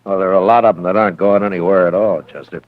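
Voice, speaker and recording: An American actor playing a watchful, world-weary frontier marshal in a 1950s radio western, terse and measured. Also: male voice, sits low, fairly even intonation, close to an everyday voice. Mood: wry, easygoing. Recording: muffled vintage radio recording.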